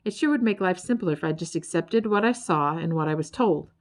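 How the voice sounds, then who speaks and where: A woman's voice: lowish voice, animated, fairly quick, close to an everyday voice. A woman voicing an earnest, hopeful young creature, half fish and half bird, in a children's storybook. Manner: eager, earnest.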